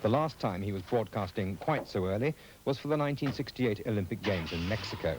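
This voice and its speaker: A man's voice: on the low side, animated, fairly quick, background noise. A British man reading scripted narration as a television reporter.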